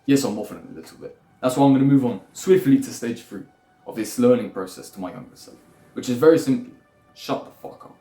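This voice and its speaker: A man's voice: mid-range voice, dark tone. A young man lecturing bluntly in a rambling self-improvement monologue, swearing freely. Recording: echoey room with faint music.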